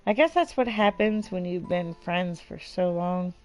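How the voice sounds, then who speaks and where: A woman's voice: fairly low pitch, lively intonation, a little slow, faint music behind, pitched below the performer's own voice. A woman voicing a caring, bashful teenage best friend in a visual novel read-aloud, hesitant and understated.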